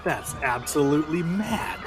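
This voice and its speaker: Man acting a high-voiced exasperated game designer. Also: animated, a little slow. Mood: incredulous. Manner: incredulous, complaining. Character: exasperated, panicky male game designer caught among enemies. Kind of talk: tongue-in-cheek radio play acting. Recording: loud music behind.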